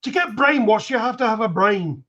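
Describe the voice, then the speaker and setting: Older man, dry and blunt, high-pitched voice, dull lo-fi line. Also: expressive, a little fast, sharp tone. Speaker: panel guest. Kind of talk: casual panel chat.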